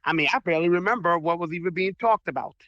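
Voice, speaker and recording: A man's voice: sits high, quick pace, fairly bright tone, no room sound, highs cut off. A man taking part in an online audio debate, speaking firmly and earnestly with strong mannerisms.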